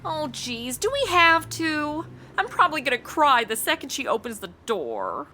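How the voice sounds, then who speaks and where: A woman's voice: high voice, sing-song, normal speed, bright, forceful tone, a little hiss or hum, heavily acted. A woman playing a chatty, boy-crazy, ditzy would-be investigator in a comedy audio drama, gushing, emotional and flippant as she overshares. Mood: flippant, whiny.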